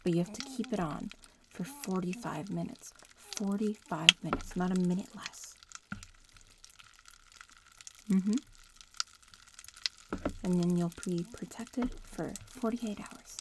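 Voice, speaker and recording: A woman playing a bratty young witch in training in a soft-spoken ASMR roleplay, casually bossy and teasing but reassuring. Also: on the low side, measured pace, background noise, close to an everyday voice. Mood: instructive. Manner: casual, teasing, matter-of-fact instructions. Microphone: close-up ASMR microphone.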